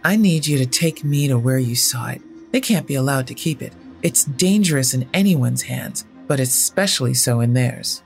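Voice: mid-range voice, animated, normal speed, gravelly, few pauses, soft background music. A storyteller voicing a guarded, fanatically determined male inventor who explains himself in a formal, grave manner in an audio story.

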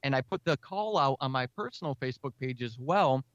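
A talkative, energetic man speaking casually as a guest in an interview about his creative work. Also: medium pitch, fairly quick, no echo, muffled recording. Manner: energetic, talkative, self-described extrovert. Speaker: audio drama writer and podcaster, interview guest.

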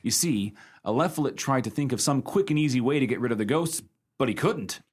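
An actor playing a folksy storyteller in a radio play, spinning a ghost yarn in a wry, unhurried way. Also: male voice, medium pitch, animated, husky. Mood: wry, narrating.

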